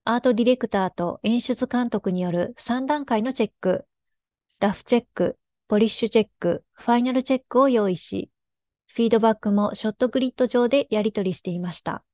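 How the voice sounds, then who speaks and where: A woman presenting in a formal, measured, explanatory way, reading a scripted technical narration. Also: fairly high pitch, dark tone, no room sound.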